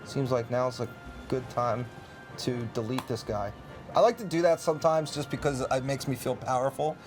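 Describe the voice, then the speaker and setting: A man hosting a relaxed gameplay chat, easygoing and quick to laugh, stumbling over repeated words. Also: medium pitch, faint music behind. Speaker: host, community manager.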